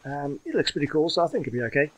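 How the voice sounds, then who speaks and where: Chatty higher-pitched man narrating gameplay. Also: expressive, rapid-fire. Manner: rambling, chatty, hesitant. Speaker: gaming video host. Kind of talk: casual gameplay commentary.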